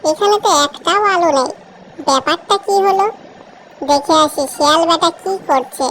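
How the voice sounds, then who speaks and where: A big, gullible crocodile from a children's cartoon folktale. The voice is very high, with a bright, sharp edge. It chatters and grumbles like a schemer who keeps getting outwitted. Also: moderate pace, a little hiss or hum, rough recording. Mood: puzzled.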